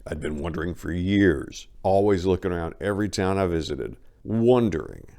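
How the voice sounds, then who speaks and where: Man, low voice, dramatic story narration, colloquial, folksy storytelling. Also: lively intonation, normal speed, gravelly, a little mellow, clear, resonant tone. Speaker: narrator of a dramatic book reading. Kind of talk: first-person dramatic audiobook narration.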